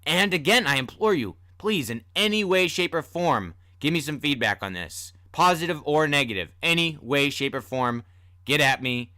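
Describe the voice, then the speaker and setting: A man's voice: a little high, expressive, normal speed, pushed and bright, no room sound, clean studio sound. A man hosting a podcast, talking casually and informally with self-deprecating asides. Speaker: podcast host and storyteller. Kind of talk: casual host talk.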